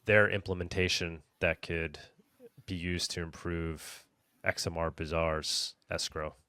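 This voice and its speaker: A man with a low voice hosts a casual interview. He speaks haltingly, reworking his sentences as he goes, in a dry recording with hardly any room sound. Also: flat delivery, bright, forceful tone, clean tone, no echo. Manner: halting, rephrases questions as he goes. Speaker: podcast host.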